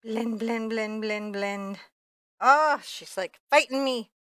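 A woman with a bright, forward voice and a dry, close sound hosts a painting lesson. She chats casually and playfully, poking fun at herself while she explains what she is doing. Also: fairly high pitch, slow pace, dry recording. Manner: playful, chatty, self-deprecating. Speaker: painting teacher, show host. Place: indoor art studio.

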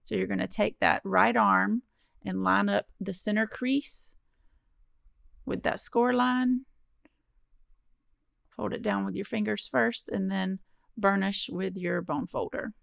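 Crafty lady walking you thru folds, gravelly fry in her voice, friendly and encouraging, dry close sound. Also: medium pitch, slow pace, pauses often, a bit bright, no echo. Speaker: card-making crafter. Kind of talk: step-by-step craft tutorial voice-over.

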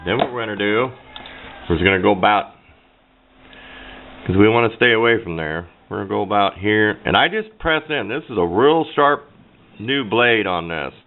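A man with a fairly low voice talks through a hands-on demonstration in a folksy, plain-spoken way. He sounds like a casual hobbyist at a workbench. The audio is narrow and phone-like, with faint background noise. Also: animated, normal speed, pauses often. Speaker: DIY radio hobbyist demonstrating. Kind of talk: casual hands-on tutorial narration.

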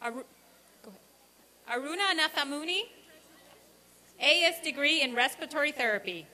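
A woman's voice: high-pitched, expressive, a little fast, bright, forceful tone, a little hiss or hum. A woman formally reading a roll of graduates as program director at a commencement ceremony. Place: outdoor college commencement ceremony.

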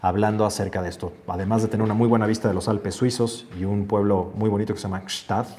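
A man's voice: fairly low pitch, fairly even intonation, fast, darkish tone, a little hiss or hum. A man in his forties hosting a casual stream from a home office, reading viewer comments aloud and musing on them earnestly. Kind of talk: casual livestream chat. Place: home office with shelves, indoors.

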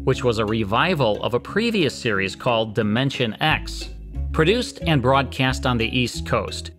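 A man's voice: mid-range voice, fairly bright tone. A man in his fifties hosting a podcast from a small studio set, giving a scripted introduction. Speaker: podcast host. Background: clear music.

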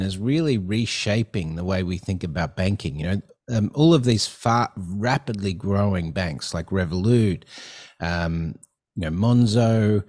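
A man's voice: fairly low pitch, darkish tone. A middle-aged man hosting a podcast interview over a video call, talking casually with many fillers and restarts. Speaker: podcast host. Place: home studio with neon sign. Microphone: headset and desk mic on video call.

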